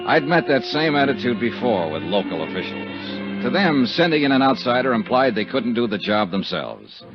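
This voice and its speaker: On a dull 1950s radio recording, a hard-boiled male insurance investigator. His middle-pitched voice moves in lively swings. He is wry and clipped, tossing out lines like a seasoned wisecracker. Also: normal speed, loud music behind the voice. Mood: dry narration. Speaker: lead actor and narrator of a radio detective serial. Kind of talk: 1950s radio drama acting.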